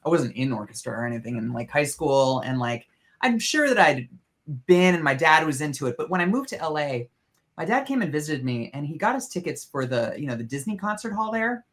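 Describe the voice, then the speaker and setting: A woman's voice: sits low, brisk. A woman in her forties co-hosting a podcast, reminiscing in a wry, reflective way. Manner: reflective, wry, lively intonation. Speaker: podcast co-host. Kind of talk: casual chat.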